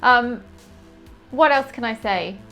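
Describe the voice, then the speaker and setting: A woman's voice: on the high side, slow pace, over loud music. A woman host introducing her guest with enthusiasm. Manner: enthusiastic, upbeat presenter. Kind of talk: show introduction.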